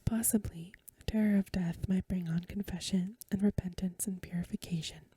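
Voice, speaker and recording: A woman reading a story aloud for ASMR in a hushed, gentle, unhurried whisper, close to the microphone. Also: medium pitch, fairly even intonation, no room sound. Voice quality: whispery, breathy. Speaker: ASMR reader and host. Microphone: close mic, dry.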